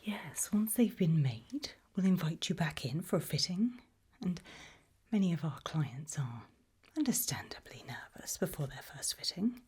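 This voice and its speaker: A woman's voice: low voice, very animated, full character voice. Woman playing a whispering, courteous monsters' tailor. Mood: soft, courteous. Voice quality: breathy whisper. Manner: soft, courteous, unhurried, reassuring. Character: polite, attentive female tailor to monsters. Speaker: ASMR performer. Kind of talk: whispered ASMR roleplay.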